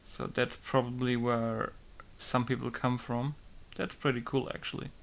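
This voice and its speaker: A young man, a game modder, chatting casually while he plays, rambling and often trailing off quietly. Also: medium pitch, little pitch movement, unhurried, creaky. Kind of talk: casual commentary over gameplay.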